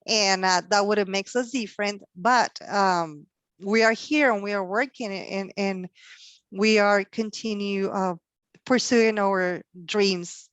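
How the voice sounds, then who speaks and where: Woman, Mexican accent, native Spanish speaker, middle pitch, halting delivery, dry close sound. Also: fairly bright tone, clean tone, dry recording. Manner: halting, many fillers and restarts. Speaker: career panel speaker. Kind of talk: career talk to students.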